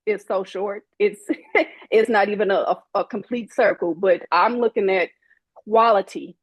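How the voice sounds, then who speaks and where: A woman's voice: mid-range voice, fairly even intonation, a little slow, no echo. A woman in her forties, a guest in an interview, speaking candidly in a sassy, emphatic way and acting out the people she quotes. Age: 40s.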